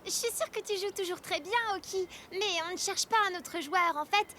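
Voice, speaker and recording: A woman voicing a sensible, helpful young child in a children's animated series, friendly and matter-of-fact. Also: very high-pitched, fairly quick, a little hiss or hum. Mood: polite. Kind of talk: children's cartoon dubbing. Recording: music under the voice.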